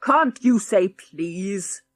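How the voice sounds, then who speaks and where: A woman voicing a loud, cantankerous old great-grandfather in a storybook, shouting bluntly and indignantly. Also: medium pitch, expressive, very slow, no echo. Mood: outraged. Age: older.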